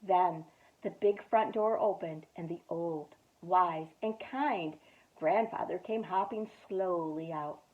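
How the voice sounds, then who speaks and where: A woman youth director reading a children's story aloud at storytime, expressive, with whispered asides. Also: on the low side, slow pace. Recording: tinny phone-like sound.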